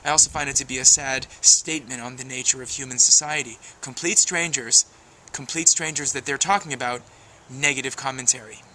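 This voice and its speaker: A man's voice: medium pitch, fairly even intonation, a little fast, bright, forceful tone, slight background noise, poor audio. A man giving a wordy, emphatic, preachy advice monologue as a video blogger.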